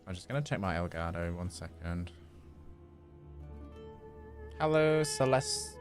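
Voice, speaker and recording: A man's voice: deep voice, very animated, a little slow, faint music behind. A man livestreaming a game, chatting casually in a playful, informal way. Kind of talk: casual livestream chat and playful read-aloud.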